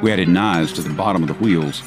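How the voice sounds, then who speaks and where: Guy acting obedient henchman, deep voice, bible radio drama, music behind. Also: fairly quick, lightly acted. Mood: deferential. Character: obedient henchman reporting to a warlord.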